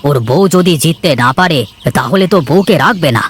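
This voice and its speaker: A man playing a mild, worried elder brother who farms, speaking gently and conversationally in a village cartoon. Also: fairly high pitch, fairly quick, husky. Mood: concerned. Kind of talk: Bangla cartoon voice acting.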